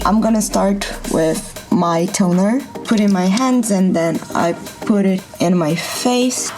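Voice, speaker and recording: A nineteen-year-old woman from Brazil chats casually about her skincare, her voice rising and falling a lot. She speaks slowly and unhurriedly, with music playing clearly behind her. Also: mid-range voice. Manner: expressive, exclaiming often. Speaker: student vlogger.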